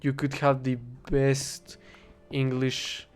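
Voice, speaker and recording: A young man with a Spanish accent, a native Spanish speaker, rambling in a casual, halting monologue while he plays a game and keeps correcting himself. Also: mid-range voice, completely flat delivery, faint music behind. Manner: halting, self-correcting, thinking aloud. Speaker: vlogger.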